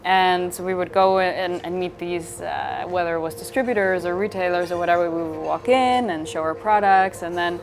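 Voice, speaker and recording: Low-pitched woman, interview over music. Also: steady tone, normal speed, hardly any pauses. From Switzerland. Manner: conversational, informal, voices imagined dialogue. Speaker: company founder being interviewed. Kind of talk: interview storytelling in casual speech. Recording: clear background music.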